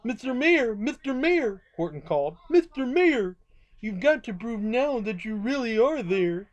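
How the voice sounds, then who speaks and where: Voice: medium pitch, sing-song, measured pace, muffled recording, voice pushed up. A storyteller voicing a kind, earnest elephant who pleads in a read-aloud storybook. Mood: alarmed, urgent.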